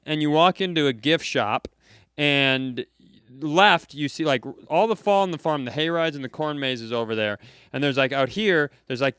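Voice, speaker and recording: A man telling a comic rant at a live comedy club, acting out people in high shouty voices. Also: clear, resonant tone, dry recording, clean studio sound. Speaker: podcast co-host. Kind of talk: comedic storytelling.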